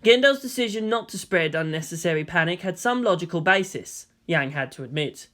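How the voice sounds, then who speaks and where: A male storyteller reads dramatic fiction in a scripted narration style. His phrasing is expressive and deliberate, and his voice is fairly high for a man.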